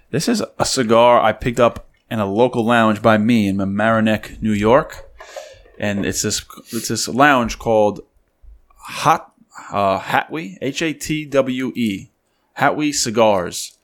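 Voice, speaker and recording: A man's voice: lowish voice, takes it slowly. A man co-hosting a podcast, chatting casually across a table and telling jokey stories. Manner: joking, animated storyteller. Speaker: podcast co-host. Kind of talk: casual chat. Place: studio table with a co-host.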